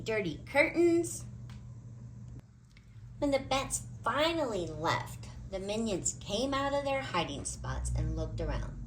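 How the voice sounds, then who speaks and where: Female storybook reader, expressive and dramatic, wide pitch movement, measured pace. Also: background noise. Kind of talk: animated read-aloud story narration.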